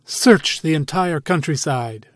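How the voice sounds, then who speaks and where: A man's voice: a little high, expressive, takes it slowly. A man playing a courtly royal figure in a children's fairy tale, formal and stately. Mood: commanding.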